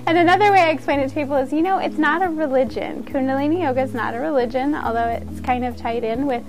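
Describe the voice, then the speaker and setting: A woman's voice: high-pitched, fast, music in the background, low-quality recording. A woman giving an informal instructional talk as a yoga teacher, conversational and earnest.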